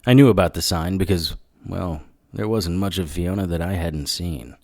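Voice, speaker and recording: Low male voice, dry studio sound, wry noir detective, radio drama narration. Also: moderate pace, darkish tone, no echo. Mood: brooding, matter-of-fact. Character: wry, hard-boiled male occult detective. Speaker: narrator and lead character.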